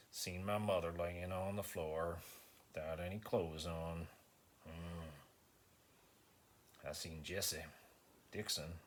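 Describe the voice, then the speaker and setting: A man performing a dramatic monologue as a slow-witted, stoic rural man with a Southern US accent, halting and deadpan, grunting hums between phrases. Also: very deep voice, full character voice. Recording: faint background noise.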